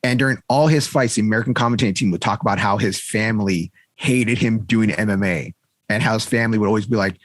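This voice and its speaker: A man's voice: fairly low pitch, quick pace, no room sound. A man co-hosting a casual podcast, rambling through stories and laughing often. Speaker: podcast co-host. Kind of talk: casual chat.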